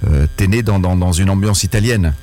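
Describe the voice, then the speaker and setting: A man's voice: low voice, fairly quick, warm tone, faint music behind. A man co-hosting a radio interview, asking probing questions and adding background details. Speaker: radio co-host.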